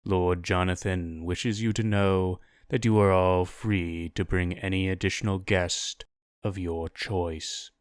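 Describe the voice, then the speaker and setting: A narrator voicing a graying, sharp-featured male envoy with a formal, courteous, measured manner. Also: low voice, steady tone, clear, resonant tone, dry recording, clean studio sound. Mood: polite, formal.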